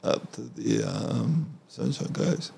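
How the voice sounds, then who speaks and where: Gravelly, husky man. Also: medium pitch, very animated, slow, a little mellow. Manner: brief agreeing interjections. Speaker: podcast co-host. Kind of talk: casual chat.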